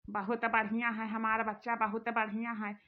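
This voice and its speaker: A woman's voice: fairly high pitch, flat delivery, normal speed, natural voice. A woman voicing a chatty old auntie on the phone, with an Awadhi accent, doting and rambling. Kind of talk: cartoon voice acting. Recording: dull lo-fi recording.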